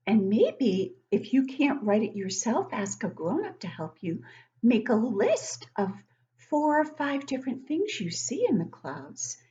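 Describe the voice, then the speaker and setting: Animated middle-aged woman reading to children. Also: fairly high pitch, measured pace, dull audio. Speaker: children's read-aloud host and teacher. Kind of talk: interactive picture-book reading for young children. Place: living room at home, indoors. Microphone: webcam at desk distance.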